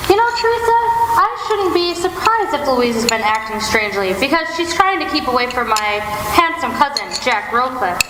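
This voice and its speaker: A woman's voice: sits high, very animated, moderate pace, hardly any pauses. A woman playing a bored, flippant young society wife in a live old-time radio drama, with breezy theatrical airs. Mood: teasing. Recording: echoey live theater stage, noisy.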